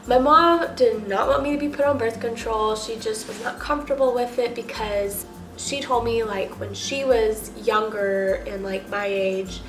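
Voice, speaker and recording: Casting: young American female vlogger, stuffy nose, emphatic conversational delivery, slightly high register, recorded in a reverberant room. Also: a bit bright. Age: young adult. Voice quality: nasal, congested. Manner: chatty, emphatic, peppered with "like". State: stuffed up with a cold. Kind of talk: casual personal storytime to camera. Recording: roomy echo with music underneath.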